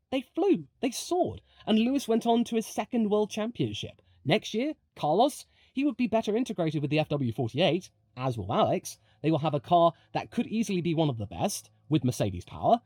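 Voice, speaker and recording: A man's voice: high voice, warm tone, dry recording, studio quality. A man delivering opinionated motorsport video commentary in an emphatic, conversational way. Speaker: motorsport commentator, channel host.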